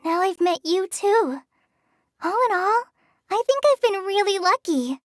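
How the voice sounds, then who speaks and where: A timid young forest ranger girl from a video game, with a very high, lively voice. She hesitates, sighs and apologizes as she talks. The studio recording is dry and close. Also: measured pace, dry recording. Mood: gentle. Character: shy young female forest ranger apprentice. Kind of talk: game character voice lines. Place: studio booth.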